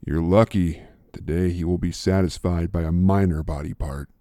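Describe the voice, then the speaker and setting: A man reading a story, voicing a calm, threatening male enforcer who speaks slowly. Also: no room sound, studio quality, close to an everyday voice. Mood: menacing. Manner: slow, low, threatening.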